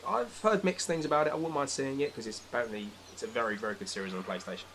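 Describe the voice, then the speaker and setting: A man's voice: mid-range voice, very fast, fairly bright tone. A man speaking as a podcast guest in casual, opinionated chat, exasperated and sweary. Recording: clear background noise.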